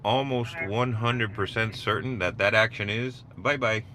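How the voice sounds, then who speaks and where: A man's voice: lowish voice, fairly even intonation, a little slow, sharp tone. A man hosting a casual livestream, talking in an informal, rambling way. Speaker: livestream host listening to police scanner. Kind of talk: casual livestream chat and commentary. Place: in a vehicle on city streets. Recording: clear car and traffic noise. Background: vehicle and street noise.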